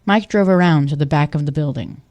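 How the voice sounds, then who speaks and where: A woman's voice: low-pitched, fairly quick, muffled recording, heavy background noise. A woman narrating an audio drama from a script in storytelling prose.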